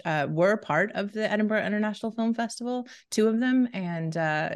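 A woman hosting a community radio film show, introducing reviews in a relaxed way with fillers. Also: fairly low pitch, no echo, clean studio sound. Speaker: show host. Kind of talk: casual radio chat. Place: radio studio.

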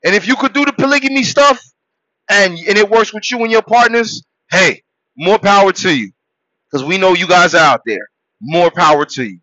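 A man born in Haiti hosting a podcast, delivering a preachy motivational monologue that swings between loud shouted exhortations and low, quiet murmurs. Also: lively intonation, normal speed, dry recording, highs cut off. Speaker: podcast host.

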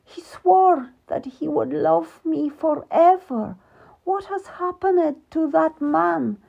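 A woman's voice: sits high, sing-song, dark tone, highs cut off, pitched above the performer's own voice, exaggerated voice. Woman voicing a proud, bitter queen. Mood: grieving. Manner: slow, measured and formal. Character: proud wronged middle-aged queen, dignified and bitter. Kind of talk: audiobook character reading.

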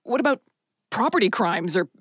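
A woman's voice: medium pitch, expressive, normal speed, slightly rough, no echo, clean studio sound. An actress playing a bitter, demoted female police detective with a curt, sardonic manner. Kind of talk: audio drama acting with narration.

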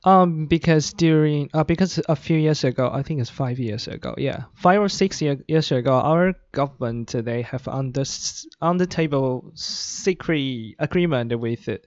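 A man's voice: a little high, moderate pace, hardly any pauses, darkish tone, no echo, lo-fi sound. A man from Taiwan, an English speaker, chatting as a radio guest with many fillers and restarts. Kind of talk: casual interview chat.

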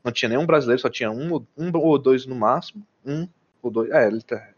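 A man's voice: mid-range voice, fast. Brazilian man, casual storyteller, rambling and slangy, lo-fi dull recording. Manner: rambling, many restarts and slang. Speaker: guest telling about living abroad. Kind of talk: casual chat.

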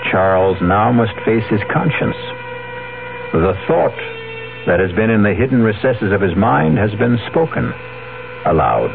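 A man hosting a radio drama with grave, deliberate, ominous scripted narration. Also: on the low side, very animated, creaky, background noise. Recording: narrow old radio, loud music behind.

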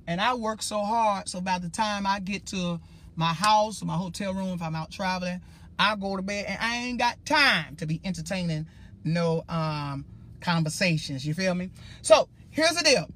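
A man with a high-pitched voice hosting his own livestream as a preacher, talking to viewers in an emphatic, rambling way. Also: moderate pace, a bit bright, slight background noise. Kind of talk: casual livestream talk.

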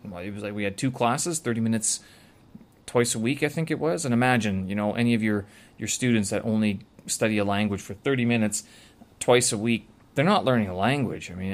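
A man's voice: lowish voice, normal speed. A man talking casually to camera in a rambling way, with many filler sounds and self-corrections. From Canada. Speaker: vlogger.

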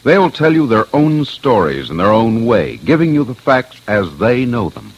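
A man's voice: medium pitch, sing-song, normal speed, slightly rough, lo-fi sound. A man hosting and narrating an old radio crime documentary in a solemn, dramatic, scripted way. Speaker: host and investigator. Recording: old radio broadcast with music. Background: music.

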